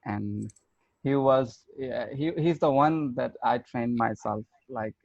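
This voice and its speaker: A man's voice: medium pitch, slow pace, vocal fry, darkish tone, no echo. A man from Pakistan hosting a casual video call meeting, talking hesitantly with many fillers and restarts. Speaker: meeting host, senior engineer. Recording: muffled lo-fi video call audio.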